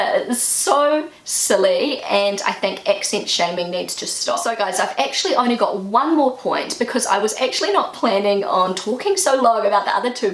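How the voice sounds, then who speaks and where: A woman's voice: mid-range voice, fairly bright tone. A woman with a strong New Zealand accent, chatting earnestly to camera in a casual vlog, her voice jumping up for emphasis. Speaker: vlogger. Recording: echoey room.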